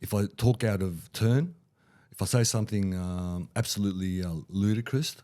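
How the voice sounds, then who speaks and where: A man with a head cold, a little nasal, rambling excitably in casual sports chat as a podcast co-host. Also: fairly low pitch, normal speed.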